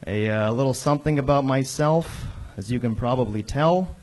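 A man's voice: mid-range voice, moderate pace, darkish tone. A young man from Montreal whose first language is French, doing stand-up and banter into a handheld mic on stage. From Montreal, Canada. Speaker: stand-up comedian. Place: indoor comedy club stage. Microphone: handheld microphone. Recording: noisy live club stage.

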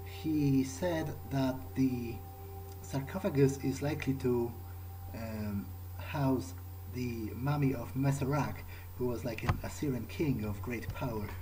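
A man's voice: medium pitch, measured pace, noisy background. A man hosting a gaming video, improvising in character and thinking aloud in hesitant, musing fragments. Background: game music.

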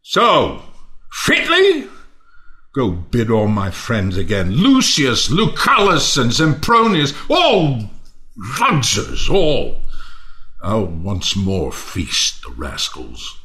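A man playing an enraged, half-mad, ruined lord in a reading of a Shakespeare play, declaiming with drawn-out emphasis. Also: mid-range voice, wildly swooping pitch, bright, forceful tone, exaggerated voice. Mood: bitter, commanding.

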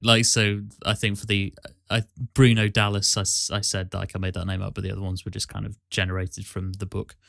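A man hosting a casual podcast, explaining things in a halting, conversational way. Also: lowish voice, flat delivery, gravelly, fairly bright tone, no echo, studio quality. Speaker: podcast host and amateur voice actor.

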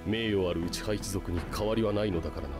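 Man playing a low-voiced, composed ninja. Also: fast talker, close to an everyday voice. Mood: grave, calm. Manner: calm, terse, authoritative. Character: grave, composed male ninja, guarded elder brother. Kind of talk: anime game voice acting. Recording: game dialogue over music.

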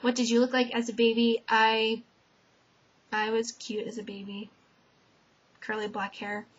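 A woman's voice: on the high side, little pitch movement, measured pace. Chatty young woman on a lo-fi webcam. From Connecticut, USA. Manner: chatty, many "um" fillers, trailing off softly at sentence ends. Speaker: vlogger. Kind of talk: casual tag-video chat. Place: dim bedroom, indoors. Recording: dull lo-fi webcam audio.

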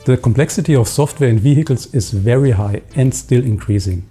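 Mellow-voiced man, steady and assured. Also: medium pitch, a little fast, slightly rough, faint music behind. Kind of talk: interview statement.

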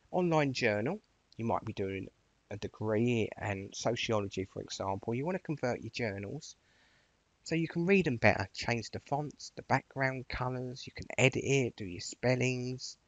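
A man giving a casual, rambling software tutorial in an informal, chatty way as a voice-over. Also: mid-range voice, moderate pace, no room sound, dull audio. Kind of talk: casual screen-share tutorial walkthrough.

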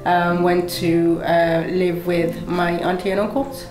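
Low-voiced woman with many fillers. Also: flat delivery, unhurried, echoey room, over music, rough recording. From Pakistan. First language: Urdu. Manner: hesitant, many fillers and restarts. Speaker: motivational speaker, guest. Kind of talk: telling her life story in an interview. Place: indoor studio set with brick wall, seated at table.

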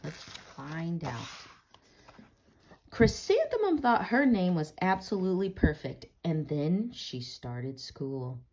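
Slow, deliberate woman reading a storybook aloud. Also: on the low side, big pitch swings. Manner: slow, deliberate storybook phrasing with gentle questions. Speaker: read-aloud reader. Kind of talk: storybook read-aloud with casual chat.

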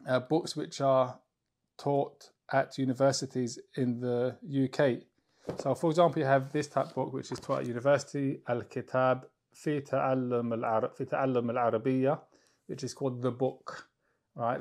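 Brief: thirties male teacher, relaxed explanatory delivery, lapel mic, small study room. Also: medium pitch. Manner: conversational, explanatory, frequent restarts and fillers; seated, gesturing and holding up books. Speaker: Arabic teacher. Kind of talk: informal book walkthrough.

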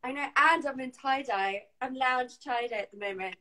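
A woman's voice: high voice, moderate pace, crowd noise. A woman in her thirties hosting a casual, effusive chat interview over a video call. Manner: effusive, chatty. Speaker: host. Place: living room at home, indoors. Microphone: video call device.

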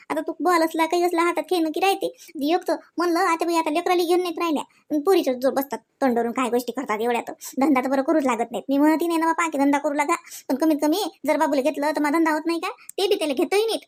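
A woman voicing a shrill-pitched, fast-grumbling young village wife. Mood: exasperated. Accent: Varhadi Marathi. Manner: complaining, rambling, exasperated. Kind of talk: comedy cartoon dialogue.

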